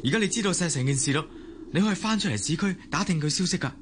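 A man's voice: a little high, expressive, rapid-fire, a little hiss or hum, lightly acted. An actor playing a well-meaning, apologetic young man in a radio drama, earnest and persuasive, with a Hong Kong Cantonese accent.

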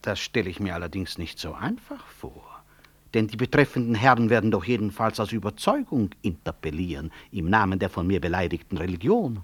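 A proud, stubborn medical director in a 1960s radio play. His low voice has lively, wide intonation, and he is dryly ironic, courteous and blunt. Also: brisk, slightly rough. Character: proud, stubborn male physician and hospital director. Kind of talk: 1960s German radio drama acting.

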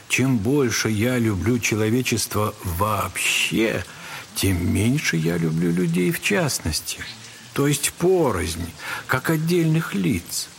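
An actor playing a gentle, wise elderly monk who counsels in a measured, softly admonishing way in a literary audio drama. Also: male voice, mid-range voice, creaky, slight background noise.

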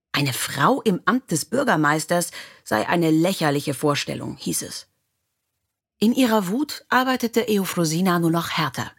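Slightly husky, low-voiced woman narrator. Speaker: audiobook narrator. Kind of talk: scripted storybook narration.

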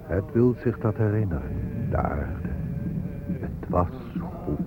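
An actor playing a grave Native elder who speaks deliberately, like a solemn refrain, in a poetic radio play. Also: male voice, fairly low pitch, lively intonation. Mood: grave, reverent. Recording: narrow phone-like audio with music behind.

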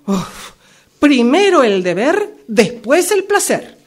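A woman in an amateur radio drama playing a grumpy, pious retired old woman with a husky Rioplatense Argentine accent, complaining and exclaiming melodramatically. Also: high-pitched, very animated, slow, heavily acted. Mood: bossy.

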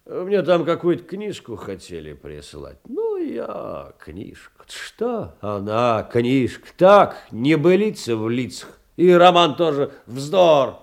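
An actor playing a poor, wounded clerk in a theatrical audio play, rambling indignantly in halting colloquial speech full of rhetorical questions. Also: male voice, a little high, wildly swooping pitch, creaky, exaggerated voice. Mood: scornful, agitated. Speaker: stage actor.

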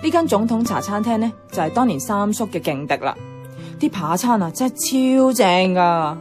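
A woman's voice: medium pitch, sing-song, moderate pace, darkish tone, soft background music, lightly acted. An actress playing a nostalgic, adventurous young woman in a radio drama, chatting with a Hong Kong Cantonese accent. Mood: fond reminiscing. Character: nostalgic, playful, adventurous young woman revisiting her childhood neighbourhood.

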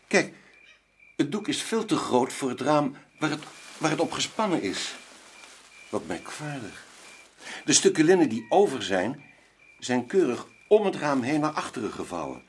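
Radio drama generous, formal gentleman: mid-pitched, expressive melody, faint background noise. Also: a little slow, pauses often. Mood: puzzled. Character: courteous, wealthy gentleman host, generous and curious.

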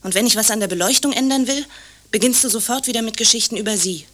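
A woman's voice: fairly high pitch, fast, fairly bright tone. An actress playing an aggrieved, jealous young woman in a radio play, speaking in a blunt, reproachful way. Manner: pointed, reproachful. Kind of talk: radio play dialogue acting.

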